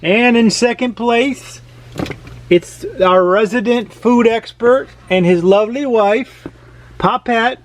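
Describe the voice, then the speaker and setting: A man's voice: extremely high pitch, slow pace, slight background noise. A man playfully announcing a show as host, with a theatrical, grandiose manner. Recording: music behind the voice.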